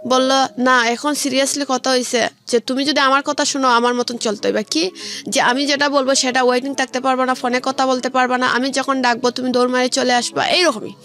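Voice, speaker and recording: High-voiced young Bangladeshi woman over music. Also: fairly even intonation, a little fast, fairly bright tone. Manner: colloquial, recounts conversations as direct quotes. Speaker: guest telling her life story. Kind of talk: personal storytelling in a radio interview. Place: radio studio. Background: background music.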